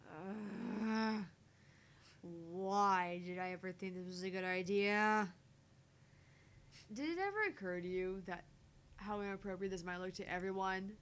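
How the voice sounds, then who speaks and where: A woman's voice: measured pace, long pauses, lightly acted. A woman voicing a shy, easily embarrassed young woman in a visual novel, defensive and exasperated. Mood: flustered, defensive. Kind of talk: voice-acting visual novel dialogue and inner monologue. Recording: faint background rumble and noise.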